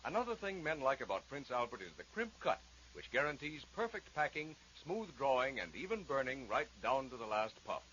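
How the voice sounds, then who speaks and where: A man's voice: medium pitch, big pitch swings, fairly quick, fairly bright tone. A man reading scripted advertising copy in a 1940s radio style. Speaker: advertising announcer. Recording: muffled, faintly noisy 1940s radio.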